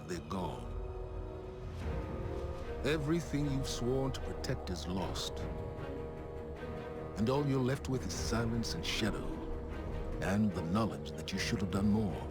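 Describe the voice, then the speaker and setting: A grave warrior narrating a sci-fi game trailer. He speaks low and slow, his pitch rising and falling dramatically. Loud orchestral music runs beneath him. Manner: solemn, deliberate.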